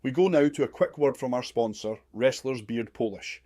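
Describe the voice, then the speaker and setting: Man as a mellow, florid wrestling commentator. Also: mid-range voice, moderate pace, no echo, natural voice. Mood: earnest hype. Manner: florid, dramatic phrasing. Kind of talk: parody wrestling radio commentary.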